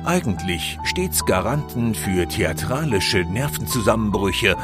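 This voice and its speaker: A man's voice: low-pitched, heavily acted. A man playing an omniscient, solemn and wryly ominous narrator of a spooky fairy-tale audio drama. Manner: theatrical, deliberate, tongue-in-cheek. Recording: music under the voice. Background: music.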